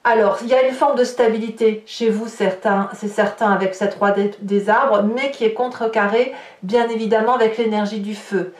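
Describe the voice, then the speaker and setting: A woman giving an unscripted tarot reading as a guidance monologue, halting and often restarting as she searches for words. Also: medium pitch, fairly even intonation. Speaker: tarot card reader. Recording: roomy echo, distant from the mic.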